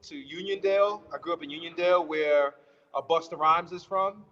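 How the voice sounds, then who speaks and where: A man's voice: on the high side, moderate pace, sharp tone. A man from Brooklyn telling his life story as an interview guest, rambling and correcting himself as he goes, with easy laughs. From Brooklyn, New York. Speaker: guest author. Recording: dull sound with long reverb.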